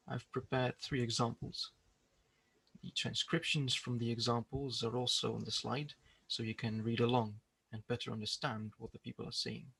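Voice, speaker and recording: A man giving a prepared research presentation in a measured, explanatory way. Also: mid-range voice, gravelly, pushed and bright, breathy edge.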